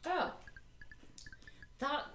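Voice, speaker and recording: A woman co-hosting a game stream, chatty and quick with asides, with a low voice that people call masculine. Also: a bit bright. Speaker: co-host. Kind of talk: casual gameplay chat. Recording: echoey room with background noise.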